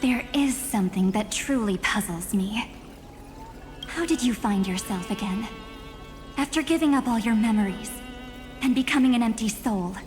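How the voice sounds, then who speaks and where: A woman voicing a haughty, world-weary antagonist in a video game, measured and condescending. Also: fairly high pitch, a little mellow, soft background music, natural voice. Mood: puzzled.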